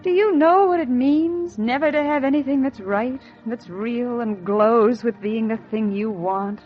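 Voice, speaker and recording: Actress as a high-voiced, yearning 1940s radio housewife. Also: female voice, a little slow, close to an everyday voice. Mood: intense longing. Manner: intense, melodramatic, repeating phrases for emphasis. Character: wistful, embittered housewife yearning for one luxury. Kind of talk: 1940s radio acting, dramatic monologue and dialogue. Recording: muffled 1940s radio recording with music.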